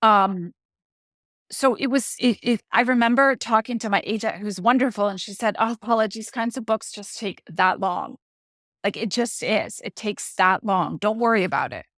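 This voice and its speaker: A woman's voice: medium pitch, moderate pace, fairly bright tone, no echo. Reflective Colombian-born woman, dry sound. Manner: reflective, searching for words with restarts. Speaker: author and guest. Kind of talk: interview conversation.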